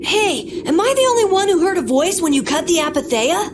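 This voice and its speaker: A woman voicing an excitable, anxious youngster in an adventure video game, with loud game sound behind. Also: extremely high pitch, brisk, background noise. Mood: excited. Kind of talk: English video game voice acting.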